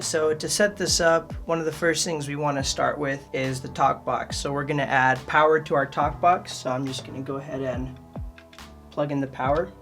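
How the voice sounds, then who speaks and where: A man with a slightly high voice presents a how-to tutorial in a casual, friendly manner. He addresses the viewers directly as a channel host would. Some music is heard behind him. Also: bright, forceful tone.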